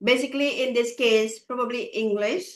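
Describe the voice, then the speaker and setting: A woman hosting a casual video call interview, asking questions in a halting way with lots of fillers and restarts. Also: fairly high pitch, steady tone, roomy sound. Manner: halting, many fillers and restarts, warm praise. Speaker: host.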